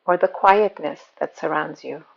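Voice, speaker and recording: A woman guiding a meditation as a yoga nidra teacher, speaking in a calm, soothing, unhurried manner. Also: low voice, vocal fry, highs cut off. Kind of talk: guided meditation.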